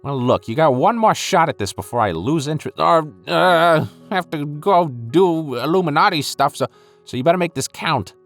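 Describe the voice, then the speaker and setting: A man's voice: on the high side, animated, moderate pace, a bit bright, soft background music. Man doing a flustered, swooping secret-cabal schemer. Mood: scolding. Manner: stammering, dismissive, conspiratorial. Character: flippant, flustered secret-cabal schemer, smug and easily bored. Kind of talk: comic audio roleplay monologue.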